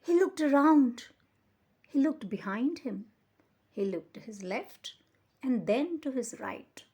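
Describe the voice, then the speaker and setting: A woman reading a children's picture book aloud as a storyteller, with expressive, deliberate emphasis. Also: sits high, breathy edge.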